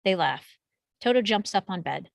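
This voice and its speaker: A woman with a mid-pitched voice narrates stage directions from a script. The sound is close and dry, with no room around it. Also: expressive, normal speed, creaky, dry recording.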